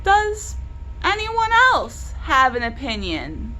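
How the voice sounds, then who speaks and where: A woman voicing a mean woman schoolteacher from a children's storybook, speaking in a stern, deliberate, threatening way. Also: sits high, huge pitch swings, bright, forceful tone, a little hiss or hum, full character voice. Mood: challenging. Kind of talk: children's storybook read-aloud character.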